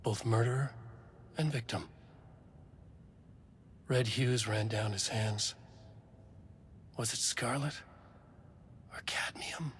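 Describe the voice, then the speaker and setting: A grave male narrator reads dark manuscript pages for a video game. His voice is low and slow, in deliberate dramatic phrases. Clear background noise sits behind him. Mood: grim. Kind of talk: scripted noir narration.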